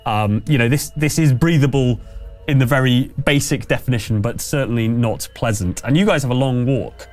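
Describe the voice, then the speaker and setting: A man's voice: mid-range voice, moderate pace, darkish tone, soft background music. A man narrating a tabletop roleplaying game as game master, in a conversational storytelling manner. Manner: conversational scene-setting storyteller.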